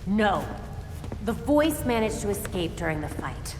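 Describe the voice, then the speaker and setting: Businesslike young vigilante in a game, earnest, roomy reverb n noise. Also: female voice, medium pitch, big pitch swings, unhurried. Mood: probing. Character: determined young female vigilante detective. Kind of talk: dramatic video game cutscene acting. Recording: reverberant room with clear background noise.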